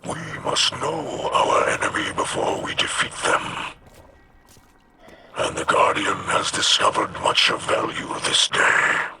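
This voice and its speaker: An actor playing a wise alien elder, a fatherly advisor giving measured, formal counsel in a video game. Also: fairly low pitch, animated, sharp tone, slight background noise, full character voice. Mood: grave, measured. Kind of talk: video game character dialogue.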